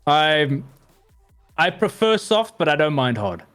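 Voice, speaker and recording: A man's voice: on the high side, big pitch swings, slow pace, a bit bright, soft background music. A man, a guest on a casual stream, chatting animatedly and swearing while greeting viewers. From South Africa.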